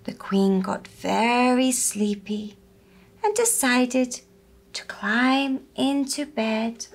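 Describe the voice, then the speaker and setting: Young female puppet-show storyteller for little children: high voice, very unhurried, gentle, expressive. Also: a little hiss or hum. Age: young adult. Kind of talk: slow storytelling for small children. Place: small furnished room indoors.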